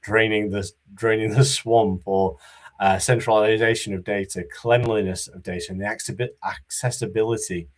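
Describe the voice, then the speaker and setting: A man giving a conference talk about business readiness, in a monotone voice with many fillers and restarts. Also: fairly low pitch, moderate pace. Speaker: conference speaker.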